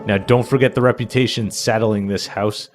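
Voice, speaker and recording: A man's voice: lowish voice, steady tone, normal speed, soft background music, lightly acted. A man playing an affable, persuasive property seller in a radio play, reasoning and coaxing.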